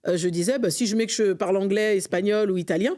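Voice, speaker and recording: A woman's voice: mid-range voice, fast, mellow. A middle-aged woman from France, a guest in a conversational interview, speaking earnestly and fervently with frequent hesitations. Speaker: podcast guest, association vice-president.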